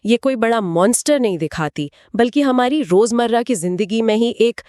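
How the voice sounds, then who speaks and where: A woman's voice: a little high, expressive, normal speed, a little mellow, no room sound, clean studio sound. Analytical woman co-host weighing a story. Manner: analytical, reacting and explaining the story's psychology. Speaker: podcast co-host. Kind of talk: conversational podcast discussion.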